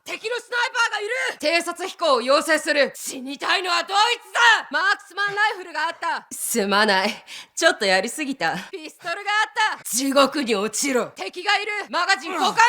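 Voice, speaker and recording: A woman's voice: very high-pitched, big pitch swings, rapid-fire, bright, forceful tone, full character voice. A woman playing a young, girlish, fierce anime soldier in a video game, giving clipped, urgent combat callouts. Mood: apologetic. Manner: clipped, urgent military calls.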